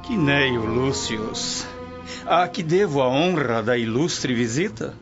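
An actor playing a worldly, self-assured Roman emperor in a radio drama, genial and stately with a teasing manner. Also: male voice, mid-range voice, animated, takes it slowly. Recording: dull lo-fi radio drama recording with music. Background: music.

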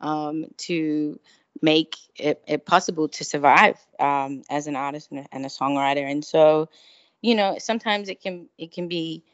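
Low-voiced Australian woman, slightly dull audio. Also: moderate pace, no room sound. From Melbourne, Australia. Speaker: guest singer-songwriter. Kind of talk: casual interview chat.

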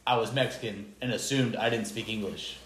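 A man's voice: lowish voice, steady tone, a little fast, over a noisy crowd. Wisecracking man in echoey room. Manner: dry wisecracks, anecdotes with quoted dialogue. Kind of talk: casual crude chat.

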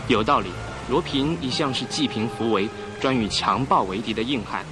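An actor playing a calm, reassuring ordinary male passenger in an old-style radio drama. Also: mid-range voice, normal speed, over music, lightly acted.

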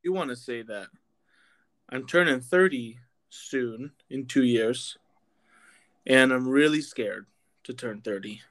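A man in his late twenties chatting on a podcast with a mid-pitched voice. He is relaxed and self-mocking, and he laughs easily at his co-host's jokes. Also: slow, pauses often. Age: 20s. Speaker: podcast co-host. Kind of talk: casual banter podcast.

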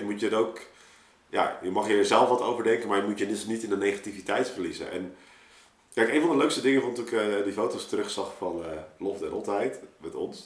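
Casting: man, lower-pitched voice, informal slangy podcast guest who meanders as he reflects, roomy echo on the recording. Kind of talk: casual reflective group conversation. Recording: echoey room.

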